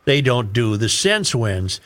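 A man's voice: medium pitch, very animated, slow pace, a bit bright. An American man hosting a talk show, reading an article aloud and adding emphatic, opinionated commentary with repeated phrases.

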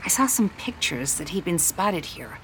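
A woman voicing an excited, pert young female tourist with a gushing, romantic streak in an audiobook. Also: fairly low pitch, normal speed, a little hiss or hum, poor audio. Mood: curious. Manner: pert, effusive. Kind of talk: audiobook character dialogue.

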